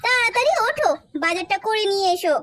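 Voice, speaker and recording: Woman voicing a shrill, bossy cartoon housewife, very high and swooping. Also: expressive, fast talker. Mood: nagging. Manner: scolding, shrill. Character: nagging young wife, shrill and bossy. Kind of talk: cartoon voice acting.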